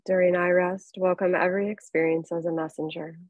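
A woman teaching yoga nidra, leading a guided relaxation in a calm, unhurried, soothing manner with long pauses. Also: lowish voice, dry recording, muffled recording. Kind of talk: guided relaxation meditation, instructing softly.

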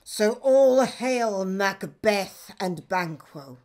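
A woman's voice: medium pitch, lively intonation, extremely slow, a little mellow. A woman playing an ominous witch chanting prophecies in a classical radio drama. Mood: ominous hailing.

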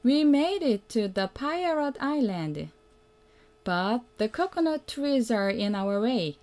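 A woman reading a children's picture book aloud as a mother would, in a simple, deliberate way that invites the young listener to join in. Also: fairly high pitch, sing-song, darkish tone. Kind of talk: read-aloud children's storytelling.

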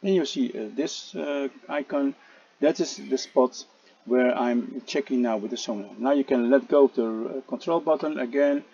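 A man narrating a casual gameplay tutorial, speaking haltingly with frequent "uh" fillers and restarts. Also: medium pitch, warm tone, breathy edge, highs cut off. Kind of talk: casual game tutorial voice-over.

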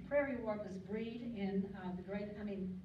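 An older woman gives a relaxed slide talk from a podium, chatty and wandering, filling gaps with ums and clearing her throat now and then. She is recorded by a camera far back in an echoey lecture hall. The sound is muffled, with steady background noise. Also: medium pitch, measured pace. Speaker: guest speaker on local birds. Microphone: podium mic, recorded by a far camera.

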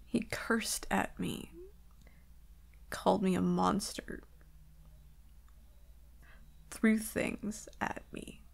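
A woman playing an anxious, vulnerable werewolf girlfriend in an intimate ASMR roleplay, soft and hesitant, stammering and often slipping into breathy whispers. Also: medium pitch, steady tone, extremely slow, long pauses, exaggerated voice. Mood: hurt, sorrowful.

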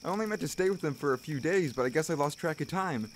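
A man playing an earnest, excitable boy scout raised by wolves in an audio drama. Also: on the high side, quick pace, slight background noise, lightly acted. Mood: overjoyed.

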